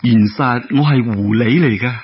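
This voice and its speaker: Man voicing a gentle, confiding fox-spirit maiden. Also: medium pitch, moderate pace, muffled recording, lightly acted. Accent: Cantonese. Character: gentle female fox spirit in young woman's form.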